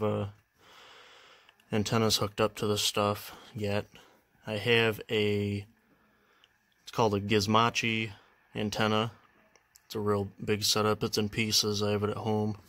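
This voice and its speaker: Young man, low voice, monotone delivery, hesitant and unsure, casual show-and-tell vlog. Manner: unsure, hesitant, many fillers and trailing phrases. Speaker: vlogger showing his radio collection.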